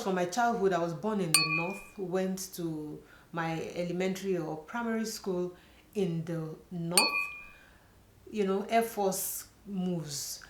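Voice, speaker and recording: Middle-aged Nigerian woman, vlogger, slightly low voice, earnest and chatty storyteller, echoey room. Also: very noisy. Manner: earnest, chatty, frequent "you know" fillers. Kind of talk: personal storytelling to camera.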